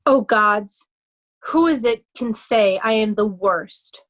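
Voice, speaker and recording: A woman's voice: medium pitch, takes it slowly, a bit bright. A woman playing a sorrowful young nobleman who feigns a mad beggar, shifting between anguish and singsong madness in a Shakespeare reading. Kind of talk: remote readers' theatre Shakespeare performance.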